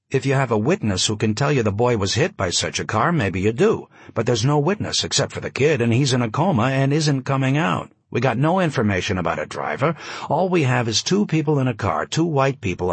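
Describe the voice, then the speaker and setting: A man's voice: medium pitch, slightly rough, no room sound. A man voicing a blunt, impatient male prosecutor who talks in a profane, matter-of-fact way.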